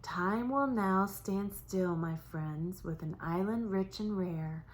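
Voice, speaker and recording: A woman voicing a kind, wise old giant turtle in a storybook, speaking slow, solemn, lilting verse. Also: mid-range voice, close to an everyday voice. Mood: tender farewell.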